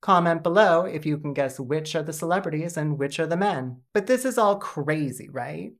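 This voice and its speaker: A woman hosting a video essay with scripted comedic narration, deadpan and sarcastic. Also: sits low, moderate pace.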